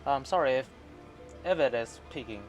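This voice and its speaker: A man chatting casually and haltingly as he streams a video game, rambling between remarks. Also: medium pitch, animated. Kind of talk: casual livestream chat over a game. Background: game music.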